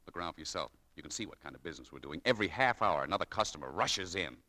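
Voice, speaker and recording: Harried store clerk, rapid-fire speech, lowish voice, earnest, insistent, old radio drama. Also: male voice, lively intonation, bright, forceful tone, exaggerated voice. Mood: exasperated. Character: frank, harried male head shop clerk. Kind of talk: 1940s radio acting.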